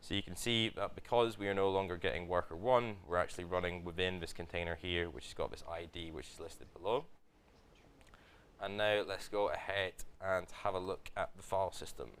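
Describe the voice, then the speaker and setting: Deep-voiced man teaching live. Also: fairly quick, clean tone. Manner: steady step-by-step explaining with frequent fillers. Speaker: workshop presenter. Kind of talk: live hands-on tech tutorial. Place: office event room with live audience.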